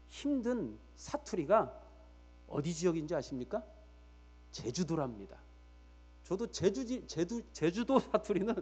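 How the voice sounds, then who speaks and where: Animated, high-pitched male preacher. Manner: emphatic, repeats key phrases for weight; addresses listeners directly with rhetorical questions. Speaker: pastor preaching to a congregation. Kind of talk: church sermon.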